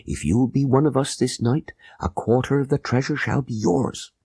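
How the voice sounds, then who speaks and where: A man's voice: medium pitch, moderate pace, dark tone, slightly rough, dry recording, clean studio sound, voice pushed up. A man voicing a grave, persuasive Sikh guard who conspires in solemn, formal speech for an audiobook. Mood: menacing urgency.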